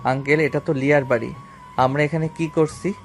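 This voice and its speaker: Man acting young tycoon, wounded and accusing, higher-pitched voice, dramatic romantic audio story, faint music underneath. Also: steady tone, normal speed, lightly acted. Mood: puzzled. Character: bitter young self-made businessman, wounded ex-lover.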